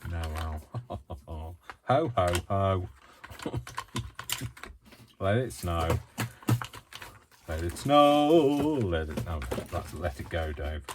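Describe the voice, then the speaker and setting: A British man from the UK chatting through a crafting tutorial at home, rambling, self-deprecating and quick to giggle. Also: low voice, lively intonation, noisy background. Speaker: craft vlogger.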